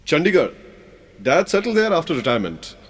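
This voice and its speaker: A man's voice: a little high, big pitch swings, a little fast, in a large hall. An actor with an Indian English accent playing a hesitant, emotional young man in an audio drama. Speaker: young man in a radio play.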